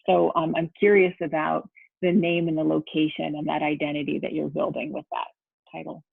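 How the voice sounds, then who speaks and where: Woman host, husky, gravelly, tinny video call audio, curious, restarts. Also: low-pitched, flat delivery, a little fast, no echo. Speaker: host, artistic director.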